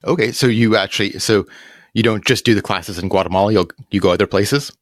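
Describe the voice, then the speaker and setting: Fast-talking male podcast host. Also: lowish voice, no room sound, studio quality. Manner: plain, brief questions. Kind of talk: casual interview questions.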